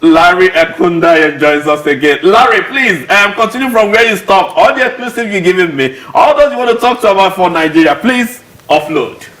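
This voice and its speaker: A man's voice: high-pitched, lively intonation, moderate pace, few pauses, a bit bright, poor audio. A man hosting a live sports talk show, jovial and chatty, laughing often. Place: studio.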